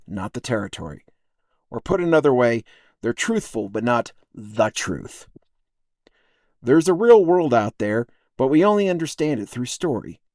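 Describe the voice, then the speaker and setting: Casting: American male essay narrator, fifties, medium pitch, thoughtful and wry delivery, very dry acoustic. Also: darkish tone, no echo. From United States, upstate New York and Texas. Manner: measured, reflective, wry. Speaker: podcast writer and narrator. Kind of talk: scripted personal essay narration.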